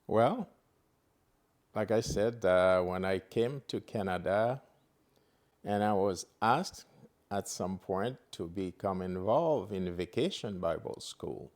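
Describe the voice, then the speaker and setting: Older man, very low slow halting voice. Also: animated, darkish tone. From Haiti. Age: 70s. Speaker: interview guest.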